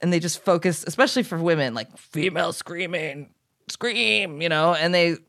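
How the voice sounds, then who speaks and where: A woman hosting a casual podcast interview, a vocal teacher with a low voice who explains at length. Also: moderate pace, fairly bright tone. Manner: talkative, explaining in long runs.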